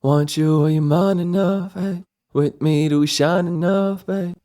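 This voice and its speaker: A man singing soft R&B lines with heavy auto-tune. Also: high voice, steady tone, unhurried. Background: his own backing beat.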